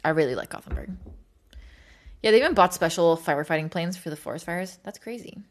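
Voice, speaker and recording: A woman's voice: fairly low pitch, expressive, a little fast. A woman from Sweden chatting casually and informally to livestream viewers, rambling with plenty of filler words and some swearing. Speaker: livestream host.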